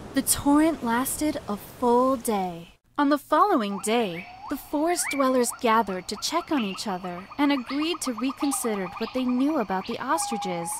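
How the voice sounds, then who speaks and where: A woman's voice: sits high, noisy background. A woman narrating a scripted children's bedtime story as storyteller.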